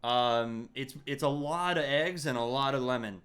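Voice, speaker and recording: A man in a comedy bit, playing a restaurant owner and pitching his menu in a put-on Greek work accent with earnest salesman patter. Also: normal speed, a bit bright, clean tone.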